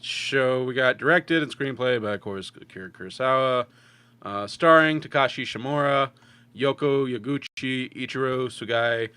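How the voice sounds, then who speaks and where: Male co-host, bright forceful voice, medium pitch, conversational film talk, dry recording. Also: clear, resonant tone, no room sound. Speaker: podcast co-host. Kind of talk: casual film review podcast chat.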